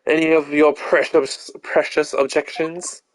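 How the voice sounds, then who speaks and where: An amateur performer voicing a smooth, teasing young male prosecutor in a courtroom video game, with a suave, taunting manner. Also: medium pitch, level tone, measured pace, no room sound, lightly acted, low-quality recording. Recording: game music behind.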